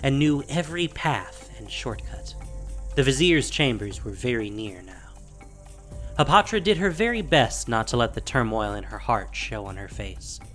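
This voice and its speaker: A man narrating a scripted fantasy audio drama with measured delivery and dramatic pauses. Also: medium pitch, very animated, a bit bright. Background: faint music.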